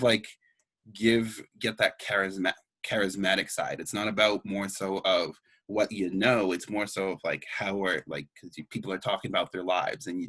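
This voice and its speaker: A young man from Canada chats as a guest in a loose, rambling way. His voice is very low but bright and forceful. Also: breathy edge, dry recording. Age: young adult. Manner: relaxed, rambling, filler-heavy. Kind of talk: casual conversation.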